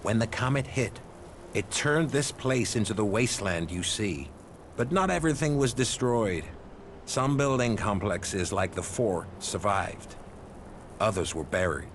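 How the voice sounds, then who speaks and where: An actor playing a worldly, wary desert historian in a video game, explaining in a measured way. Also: male voice, fairly low pitch, animated, a little hiss or hum. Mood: storytelling, intrigued. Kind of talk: expository game dialogue.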